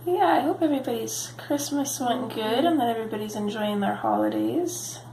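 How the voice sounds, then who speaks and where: A woman's voice: a little high, normal speed, creaky, echoey room, a little hiss or hum, rough recording. A woman hosting a coloring video, talking casually with lots of ums while she works, a bit sniffly from a cold. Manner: relaxed rambling with frequent ums. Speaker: coloring channel host. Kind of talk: casual chatty coloring tutorial.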